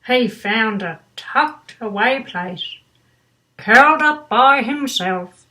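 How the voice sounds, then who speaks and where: An older woman reads a children's storybook at a very unhurried pace. Her voice is bright and fairly high, and she drops in doting, grandmotherly asides as she goes. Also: expressive, rough recording. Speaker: storybook reader. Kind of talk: children's bedtime story read aloud with chatty asides.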